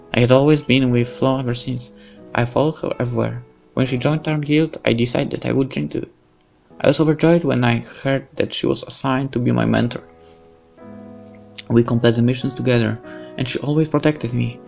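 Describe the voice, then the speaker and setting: A man's voice: mid-range voice, steady tone, a little fast, creaky, darkish tone, faint music behind, pitched above the performer's own voice, natural voice. A man voicing a grieving young woman agent who blames herself, tearful and self-deprecating, reading a visual novel. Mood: wistful.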